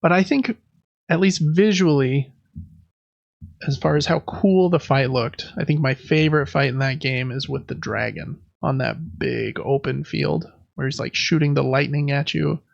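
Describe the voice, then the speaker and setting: A man's voice: on the high side, moderate pace, gravelly, dull audio. A man chatting casually as a podcast host. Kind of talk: casual chat about video games.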